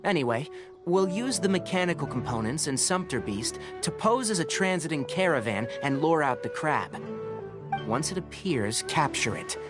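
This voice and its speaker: Aloof analytical forest ranger, lively pitch. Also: male voice. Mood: businesslike, cool. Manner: measured, precise, matter-of-fact, formal. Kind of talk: video game voice acting. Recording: game music behind.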